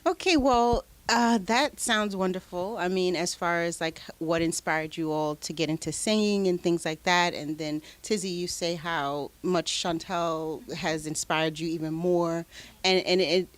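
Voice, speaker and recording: A woman's voice: lowish voice, unhurried. A woman hosting an interview show, asking long, rambling questions full of fillers and laughing easily. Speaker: talk show host. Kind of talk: casual interview.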